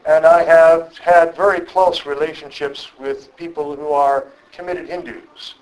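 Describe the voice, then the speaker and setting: A middle-aged man giving a reflective public lecture, speaking in a measured, thoughtful way and often pausing on um and uh. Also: a little high, fairly even intonation, darkish tone, poor audio.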